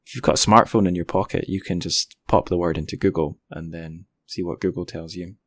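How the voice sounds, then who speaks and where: A man's voice: sits low, steady tone, a little fast. A young man hosting a podcast interview in an informal, self-deprecating way. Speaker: podcast host. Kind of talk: casual interview.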